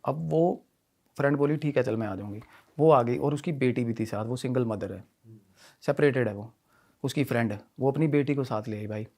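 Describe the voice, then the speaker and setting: A man telling a story in animated conversational style, often repeating himself and mixing in English phrases. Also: mid-range voice, normal speed, creaky. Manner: repetitive, mixes Hindi with English phrases. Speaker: podcast guest telling a ghost story.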